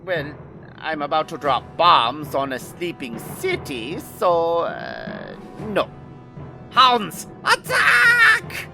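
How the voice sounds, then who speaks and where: A man's voice: on the high side, huge pitch swings, drawn-out words, creaky, music in the background, full character voice. A man playing a pompous, aggrieved mad scientist villain in an audio drama, with grandiose theatrical delivery. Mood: menacing.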